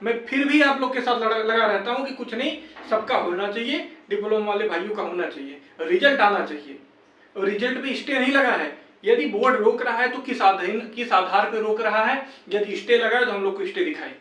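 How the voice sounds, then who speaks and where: Young male campaigner, high voice, urging peers insistently with a reproachful edge; recorded echoey and off-mic. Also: fairly quick, bright, forceful tone. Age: young adult. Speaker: exam candidate and campaign organiser. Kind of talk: motivational appeal to fellow candidates. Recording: echoey room, distant microphone.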